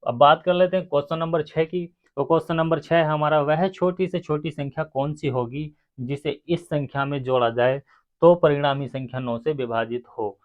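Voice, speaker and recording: A man's voice: fairly high pitch, fairly even intonation, moderate pace. A man teaching maths step by step, addressing his students directly and counting sums out aloud. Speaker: maths teacher for exam aspirants. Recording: muffled, phone-like narrow sound.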